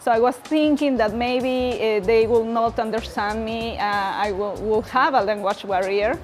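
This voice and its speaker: A woman's voice: fairly high pitch, a little slow. A woman from Peru, a native Spanish speaker with a Spanish accent, talking about her trades program with many hesitant fillers and non-native phrasing. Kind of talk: testimonial interview. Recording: music playing underneath.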